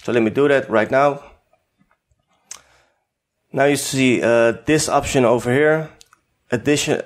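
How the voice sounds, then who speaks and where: A man from the Netherlands with a Dutch accent, whose first language is Dutch, giving an unscripted software tutorial in a hesitant way with many fillers. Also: mid-range voice. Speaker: tutorial host.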